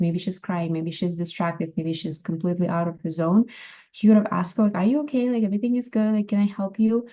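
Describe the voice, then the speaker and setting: A woman's voice: on the low side, mellow. A woman giving casual, supportive critique as a workshop participant, explaining her ideas through examples.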